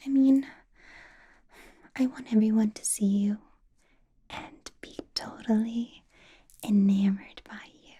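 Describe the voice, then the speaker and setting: A woman playing a possessive, doting vampire boss in an ASMR roleplay, whispering in a breathy, teasing, intimate way. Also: mid-range voice, dark tone. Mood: doting concern. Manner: slow, teasing, intimate personal-attention delivery.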